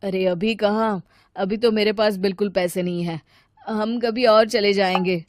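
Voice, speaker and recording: A woman's voice: mid-range voice, fairly even intonation, unhurried, no echo, studio quality, close to an everyday voice. A woman voicing a harried, apologetic man who placates his family in a moral story. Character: harried, placating adult husband and son, short of money.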